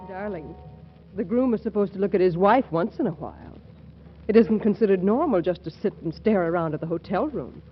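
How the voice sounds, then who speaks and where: A woman's voice: medium pitch, animated, a little fast, faint music behind, natural voice. Woman acting wry bride in echoey old radio. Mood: suspicious. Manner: coaxing, wry. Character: needling, suspicious new bride. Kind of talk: 1940s radio comedy acting. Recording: echoey, dull, faintly noisy radio recording.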